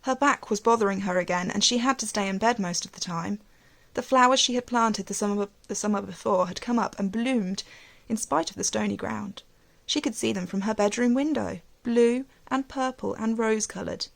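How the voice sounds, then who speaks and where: A woman teacher reading a storybook aloud to young children, expressively, with gentle questions and asides. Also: mid-range voice, moderate pace, gravelly.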